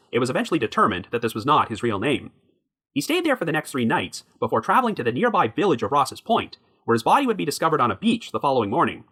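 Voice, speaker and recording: A man hosting a podcast, narrating a true crime story from a prepared script in a measured way. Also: a little high, pushed and bright. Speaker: podcast host.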